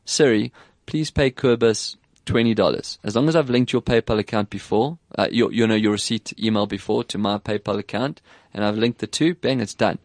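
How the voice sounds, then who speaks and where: A middle-aged man with an English South African accent talks tech in a loose, chatty way, his voice sitting a little low. He is recorded close up in a dead, dry space with no room sound. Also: normal speed, hardly any pauses, no room sound. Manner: chatty, animated, puts on voices when quoting. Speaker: podcast host. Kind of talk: casual tech chat.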